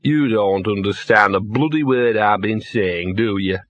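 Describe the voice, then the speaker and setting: A man voicing a cynical, maudlin little talking dog, hoarse and drunkenly slurred, in a comic fantasy audiobook. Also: medium pitch, unhurried, a bit bright, lo-fi sound. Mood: grumbling resignation. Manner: slurred, rambling, grumbling.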